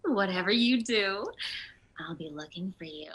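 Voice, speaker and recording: A woman's voice: medium pitch, a little slow, a bit bright, lightly acted. A woman voicing a playful, hungry video game villainess who mocks her prey. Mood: playfully menacing. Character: predatory taunting villainess, playful, hungry, mocking hunter. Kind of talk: video game character performance.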